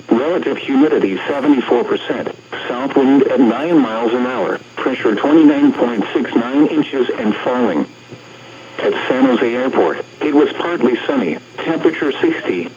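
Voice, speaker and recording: A man's voice: medium pitch, noisy background. Muffled synthetic American man on weather radio. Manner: impersonal, segmented synthetic delivery. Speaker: automated weather radio announcer. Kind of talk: scripted weather report reading.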